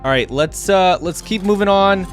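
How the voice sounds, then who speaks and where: A man's voice: high-pitched, normal speed. Man chatting over game music. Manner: chatty, thinking aloud. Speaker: host of a gaming reaction channel. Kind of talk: casual reaction commentary. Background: game theme music.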